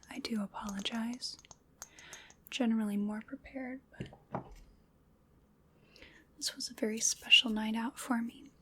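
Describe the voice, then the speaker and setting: Female performer as playful, hungry vampire lady for ASMR roleplay: whispered, very slow delivery, polite and coaxing, musing over her prey. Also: a little high, pushed and bright, a little hiss or hum. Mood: musing, apologetic.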